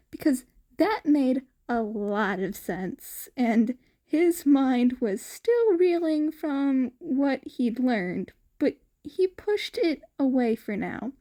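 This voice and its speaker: A woman's voice: sits high, mellow. A woman reading fan fiction aloud as scripted story narration.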